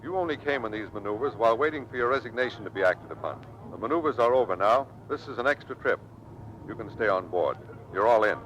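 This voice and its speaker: An actor playing a tough, loyal American Navy squadron commander in old-time radio drama style, earnest and commanding. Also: male voice, on the low side, lively intonation, quick pace, a bit bright, lo-fi sound. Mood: stern.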